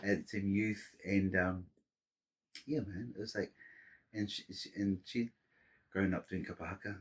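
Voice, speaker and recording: Low, husky-voiced middle-aged man. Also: fairly even intonation, unhurried. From Samoa. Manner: rambling, restarts and fillers, warm laughter-prone storytelling. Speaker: podcast guest. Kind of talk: casual interview chat.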